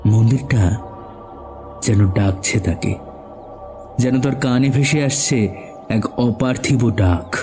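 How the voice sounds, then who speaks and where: A man's voice: mid-range voice, takes it slowly, long pauses, music in the background. A man narrating a scripted suspense story as the narrator of an audio drama.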